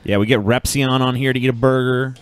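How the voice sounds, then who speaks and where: A man's voice: mid-range voice, fairly even intonation, brisk, smooth. A man co-hosting a podcast in casual chat, sardonic and foul-mouthed. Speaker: podcast co-host.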